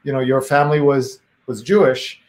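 A man's voice: medium pitch, fairly even intonation, moderate pace, darkish tone. Curious male host on a video call. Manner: curious and probing, reads viewer comments aloud. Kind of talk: casual interview questions.

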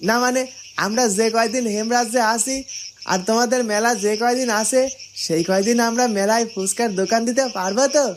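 A woman's voice: on the high side, fairly even intonation, fairly quick, lightly acted. A woman playing an eager, deferential young food-stall seller in a fairytale cartoon. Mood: hesitant, hopeful. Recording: noisy, insect-like night ambience.

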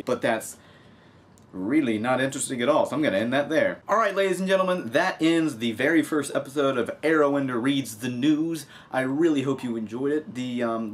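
A young American man from Texas giving casual, sarcastic commentary as he reads out news as a video host. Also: medium pitch. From Texas, United States. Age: young adult. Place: small room at a home desk, indoors.

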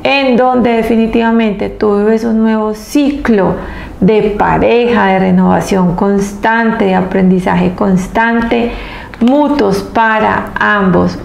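Very creaky-voiced woman reading tarot. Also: mid-range voice, steady tone, slow, a little mellow. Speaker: tarot reader and host. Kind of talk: spiritual tarot reading to camera. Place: small indoor room, seated at table. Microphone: clip-on lapel mic. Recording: roomy echo with faint background noise.

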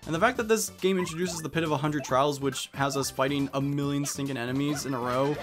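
A man's voice: on the high side, fast, music in the background. A man giving casual let's play commentary on a video game, reading dialogue aloud as he goes.

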